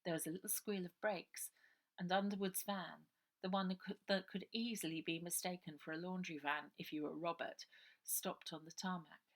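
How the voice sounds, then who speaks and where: A woman's voice: lowish voice, steady tone, normal speed. A woman reading her children's novel aloud as a dramatic storybook narration.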